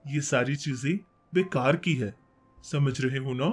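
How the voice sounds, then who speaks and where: A man playing a principled, thrifty father who patiently instructs his child, with a husky voice. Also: medium pitch, measured pace, faint music behind. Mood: patient, advising.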